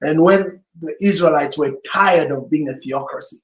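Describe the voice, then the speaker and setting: A man's voice: fairly high pitch, normal speed. A male pastor whose first language is Swahili, giving an emphatic sermon-style teaching talk full of rhetorical questions. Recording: roomy echo.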